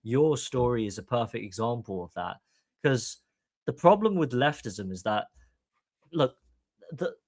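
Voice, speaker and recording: A man's voice: medium pitch, animated, a little slow, long pauses, darkish tone, dry recording, studio quality. A man from Hong Kong hosting a podcast, giving opinionated commentary in an emphatic, long-winded way. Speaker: podcast host.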